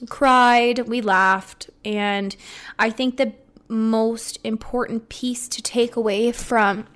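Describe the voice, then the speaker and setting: A young woman chats through her solo podcast in an upbeat, rambling way, her voice sitting a little high. She restarts sentences often and keeps a casual, personal tone. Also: fairly even intonation, slow, fairly bright tone, clear, resonant tone. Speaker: podcast host.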